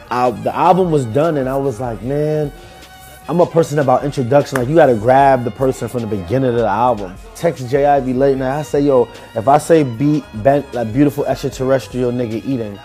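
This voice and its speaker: Smooth-voiced young New rapper. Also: male voice, mid-range voice. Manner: loose, rambling, animated storytelling. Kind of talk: casual interview talk.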